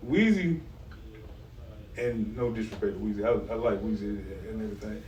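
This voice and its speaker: A man's voice: lowish voice, normal speed, warm tone. A man hosting a podcast, asking questions in a rambling, filler-heavy casual way. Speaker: podcast host. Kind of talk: casual interview chat. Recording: roomy, distant mic, faint noise.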